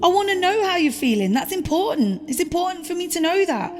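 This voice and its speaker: A woman's voice: extremely high pitch, sing-song, a little fast, over music. An actress playing a devoted, hurt girlfriend in an audio drama, speaking emotionally, pleading and indignant. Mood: tense, pleading. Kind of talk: dramatic audio play dialogue.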